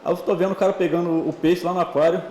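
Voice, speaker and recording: Um homem convidado de um podcast, atleta de tiro com arco, contando histórias em conversa descontraída e cheia de vícios de linguagem. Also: male voice, high-pitched, level tone, mellow, roomy sound, a little hiss or hum. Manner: fast, chatty, storytelling with filler words. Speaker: podcast guest, archery athlete. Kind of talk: casual interview chat.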